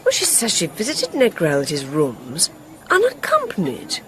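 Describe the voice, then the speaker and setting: A woman playing an inspector's prim, teasing middle-aged wife in a radio drama, gently disapproving and knowing. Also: on the low side, huge pitch swings, moderate pace, a little hiss or hum. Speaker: actor in a supporting role. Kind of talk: naturalistic radio drama acting.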